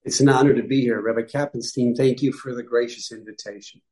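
Mellow-voiced man recounting family history. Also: mid-range voice, fairly even intonation, brisk. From upstate New York. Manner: explanatory, with frequent self-corrections and asides. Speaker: guest rabbi. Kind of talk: conversational interview answers.

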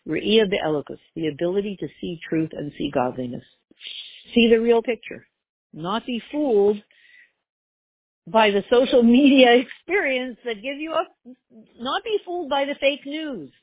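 A woman's voice: medium pitch, lively intonation, normal speed, slightly rough, dry recording. A woman giving a religious class, explaining with rhetorical questions and everyday examples. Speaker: Torah teacher. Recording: tinny phone-like line.

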